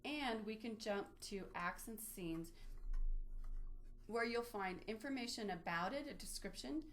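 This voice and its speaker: A woman's voice: medium pitch, lively intonation, a little slow, fairly bright tone. A woman presenting an instructional webinar, explaining things step by step as she walks through a screen demonstration. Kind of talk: instructional screen-share walkthrough.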